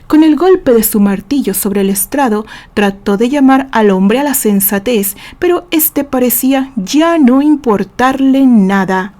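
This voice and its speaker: Slow-reading Mexican woman narrator. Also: on the high side, animated, mellow. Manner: measured storytelling. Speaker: author and narrator. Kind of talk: dramatic audiobook narration.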